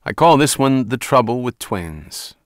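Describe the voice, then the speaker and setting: An actor playing a wry, wisecracking police lieutenant who narrates a hard-boiled radio mystery in casual slang. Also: male voice, mid-range voice, big pitch swings, unhurried, no echo, studio quality. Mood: dry, hard-boiled.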